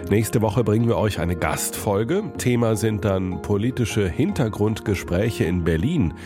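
A man's voice: lowish voice, animated, unhurried, music in the background. A man hosting a podcast, reading an outro with direct, informal address to listeners. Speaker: podcast host. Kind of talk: scripted podcast sign-off.

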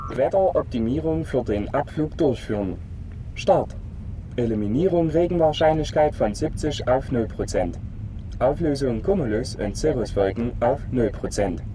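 An impersonal space transport computer acted by a man issues clipped commands in a radio play. The voice is flat in feeling and official, set over a clear rumbling noise. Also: normal speed, close to an everyday voice. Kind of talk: scripted system announcements in a radio play.

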